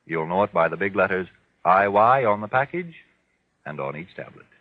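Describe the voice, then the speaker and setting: Man, polished sponsor announcer, emphatic swooping delivery, slightly deep, dry vintage radio recording. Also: expressive, normal speed, no room sound, phone-quality sound. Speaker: show announcer and sponsor pitchman.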